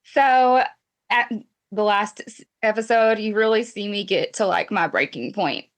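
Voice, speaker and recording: A woman's voice: mid-range voice, measured pace. A woman from Mississippi with a Southern accent, a reality TV guest talking candidly and conversationally in an interview, with frequent restarts. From Mississippi, USA. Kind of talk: casual interview chat.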